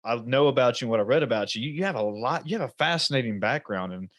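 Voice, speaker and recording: A man's voice: medium pitch, animated, quick pace, dry recording, studio quality. A man hosting a podcast, who talks casually and rambles with many restarts. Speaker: podcast host.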